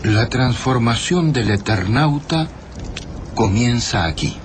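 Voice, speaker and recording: An actor playing a contemplative witness who narrates a radio drama with quiet gravity. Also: male voice, mid-range voice, expressive, slow pace. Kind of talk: slow reflective scripted radio narration. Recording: clear ambient noise behind.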